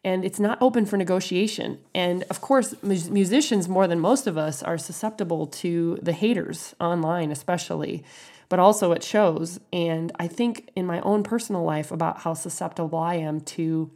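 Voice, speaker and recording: A woman hosting a podcast, earnest and encouraging, her voice husky from a head cold. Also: fairly low pitch, darkish tone. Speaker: podcast host. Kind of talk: podcast intro and interview.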